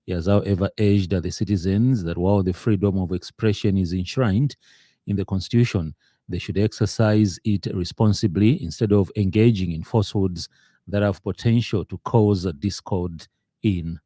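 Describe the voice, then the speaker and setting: A man with a Zambian accent reading a scripted radio news bulletin in a formal, measured way. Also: low voice, warm tone, no room sound, clean studio sound.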